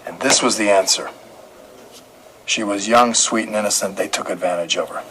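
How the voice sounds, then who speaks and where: Man playing a low-voiced, relentless police detective. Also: moderate pace, bright, forceful tone, rough recording. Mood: probing, pressing. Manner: terse, pointed, methodical questioning. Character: male police detective, calm, relentless, pressing interrogator. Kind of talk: audio drama acting. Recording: clear background noise and ambience.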